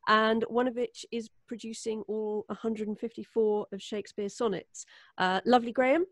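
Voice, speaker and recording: A woman's voice: on the high side, fairly even intonation, normal speed, no room sound, clean studio sound. A woman with an English accent, a performer, talking casually and informally to viewers on a live stream. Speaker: voice actor and podcast performer. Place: indoors at home, remote group call.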